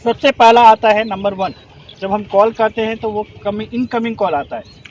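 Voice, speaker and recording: High-voiced man teaching a class outdoors. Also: normal speed, darkish tone, muffled recording, slight background noise. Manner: explains step by step, asks the class to confirm. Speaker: rescue trainer. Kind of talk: instructional demonstration.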